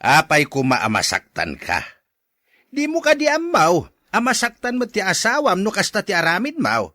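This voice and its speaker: A man's voice: high voice, huge pitch swings, normal speed, bright, forceful tone, dry recording, studio quality, full character voice. A man playing a reproachful figure who scolds and admonishes a husband in a radio drama.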